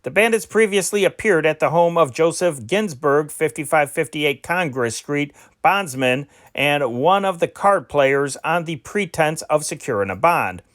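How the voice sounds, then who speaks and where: Man, fairly high voice, amateur news reader, scripted delivery, deliberate with names and numbers, close dry sound. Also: expressive, a bit bright, no echo. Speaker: amateur reader of historic newspaper reports.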